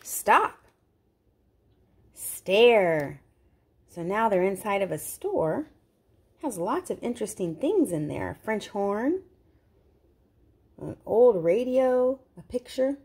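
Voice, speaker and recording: A woman's voice: medium pitch, big pitch swings, slow, pauses often, slightly rough. A woman reading a picture book aloud to young children, expressively, with simple explanatory asides. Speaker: children's read-aloud host.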